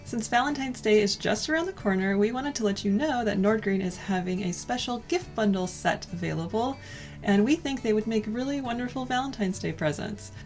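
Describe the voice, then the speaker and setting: Soft-spoken American woman co-hosting a vlog. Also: a little high, fairly quick, soft background music. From United States. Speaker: vlog co-host. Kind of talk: casual cozy chat and sponsor read.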